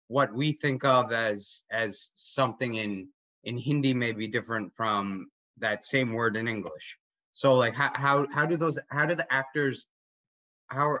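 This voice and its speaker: A man's voice: mid-range voice, pushed and bright, no echo. A young man in his twenties, an actor, asking an eager, winding question over a video call from a home kitchen. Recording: dull, dry video-call audio.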